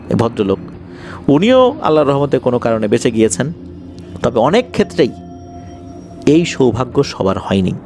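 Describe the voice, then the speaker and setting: A man with a Bangladeshi accent hosts a horror story show, narrating suspensefully to his listeners with drawn-out words and pauses. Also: a little high, a little mellow.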